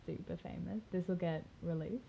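A woman's voice: fairly low pitch, moderate pace, gravelly, breathy edge. A young woman with an Australian accent, co-hosting a casual podcast chat, giggly and teasing, laughing often. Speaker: podcast co-host. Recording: dull lo-fi test recording.

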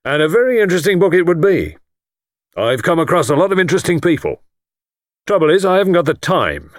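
A man's voice: a little high, big pitch swings, brisk, no echo, pitched above the performer's own voice. A man voicing a pompous, boastful self-made older lord who talks in a blustering, self-satisfied way.

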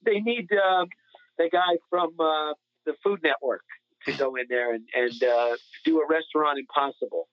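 A man's voice: a little high, normal speed, dry recording. A man co-hosting a sports podcast, talking casually and giving opinions in a conversational way. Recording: thin phone-like audio.

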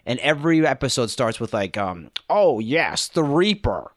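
A man hosting a casual, foul-mouthed podcast chat. His voice is mid-pitched and dry, with a joking, disbelieving edge. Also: sing-song, normal speed, dry recording. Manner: blunt, joking, incredulous. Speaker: podcast host. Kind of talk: casual profane interview chat.

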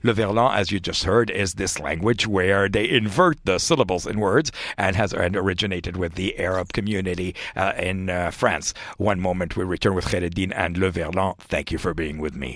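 A man hosting a college radio interview, moving quickly from one announcement to the next. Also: deep voice, a bit bright, no room sound. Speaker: radio host.

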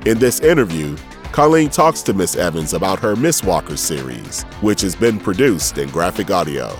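Male podcast announcer, low and animated, reading a scripted intro. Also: normal speed. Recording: music under the voice.

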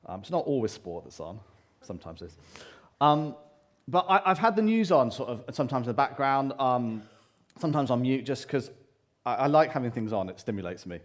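A man with a loud voice preaching a sermon to a congregation, talking earnestly with frequent pauses and restarts. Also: on the low side. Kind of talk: church sermon. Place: church hall, live congregation. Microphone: handheld or stand microphone.

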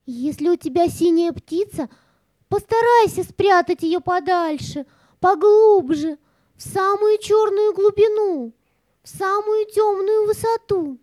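A woman's voice: very high voice, slow pace, darkish tone, dry recording. A woman playing an agitated, sly female cat in a children's audio play, speaking urgently and conspiratorially. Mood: insistent warning. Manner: dramatic, urgent storytelling delivery.

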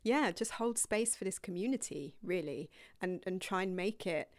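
A young woman yoga teacher telling her own story to viewers in a rambling, candid, casual way, dropping to breathy whispered asides now and then. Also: mid-range voice, animated, normal speed, a little mellow, no room sound, studio quality.

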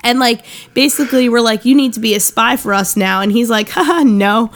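A woman's voice: fairly high pitch, a little fast. A woman co-hosting a comedy podcast, congested from allergies, in spacey, casual, rambling banter. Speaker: podcast co-host.

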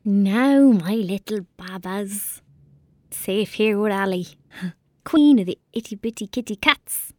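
A woman's voice: medium pitch, slow pace, dark tone, no echo, lightly acted. Woman playing a defiant, dreamy young Irish girl. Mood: tender, wistful. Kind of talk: audio drama acting.

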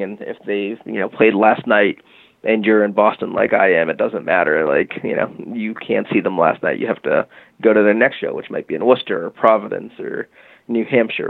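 A man's voice: fairly low pitch, vocal fry, no room sound. A man being interviewed on a podcast, explaining a product casually and hesitantly with many fillers like "you know" and "um". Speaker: guest, music marketing tech company. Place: Boston. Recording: dry, phone-like narrow band.

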